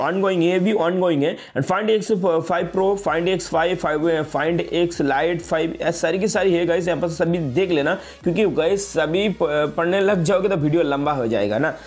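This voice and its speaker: Young guy doing a casual phone tutorial, voice on the high side, repeats himself a lot. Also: darkish tone. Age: young adult. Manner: repetitive, frequently addresses viewers as guys, mixes in English words. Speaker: tech video host.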